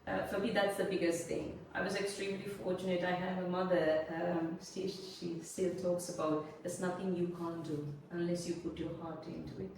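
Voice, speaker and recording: Sri Lankan-accented woman, forties, measured motivational guest, lowish voice, very roomy sound with faint hiss. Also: little pitch movement, gravelly, a little mellow. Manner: earnest, measured, speaks in lists and principles. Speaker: guest, business consultant. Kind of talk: interview answers, motivational. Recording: roomy echo, a little distant from the mic, faint noise.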